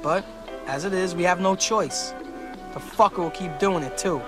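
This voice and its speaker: A man acts out an aggrieved hotel owner in a video game. The voice is high for a man, with clear music behind. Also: normal speed, lightly acted. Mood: bitter. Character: bitter male hotel owner. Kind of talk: video game cutscene acting.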